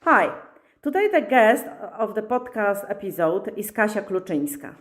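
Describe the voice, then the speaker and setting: A woman hosting a podcast, opening with a scripted intro and then interviewing her guest at a slow, measured pace. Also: medium pitch, very animated, over music. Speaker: podcast host and coach.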